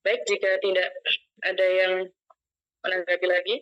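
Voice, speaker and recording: Lady lecturer moderating a video call class, short quick prompts, dull dry audio. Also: lowish voice, little pitch movement, no room sound.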